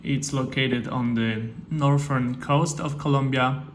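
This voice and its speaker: A man hosts a travel vlog in a mid-pitched, level voice that hardly rises or falls. His English is steady and explanatory, dotted with fillers. A faint hiss runs underneath. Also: takes it slowly. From Poland. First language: Polish. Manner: steady, explanatory, with frequent "uh" and "guys". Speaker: travel vlogger.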